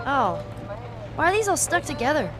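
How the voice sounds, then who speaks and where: A cocky teenage girl survivor, high and lively. She is sarcastic and defiant, with faint music behind her. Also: moderate pace. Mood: teasing.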